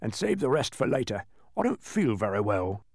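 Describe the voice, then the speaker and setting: A man's voice: mid-range voice, very animated, normal speed, dry recording, studio quality. A man voicing a huge, gelatinous storybook demon who is cowardly and anxious, hedging fretfully and peevishly. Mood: worried, reluctant.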